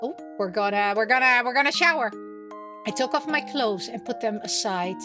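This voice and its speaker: A woman with a high voice hosts a gameplay read-aloud over clear background music. Her reading is expressive and theatrical, and she drops playful asides into the narration. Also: normal speed. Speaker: host reading a visual novel aloud.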